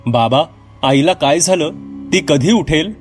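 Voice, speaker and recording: Guy playing a worried kid boy, bit higher voice, asking questions, music behind. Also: a little slow, close to an everyday voice. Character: concerned young boy asking after his mother.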